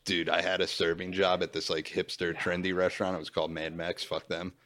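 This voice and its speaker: A young man with a deep voice, chatting casually and laid-back as a podcast co-host, often saying "dude". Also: little pitch movement, a little fast, a bit bright. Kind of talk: casual chat.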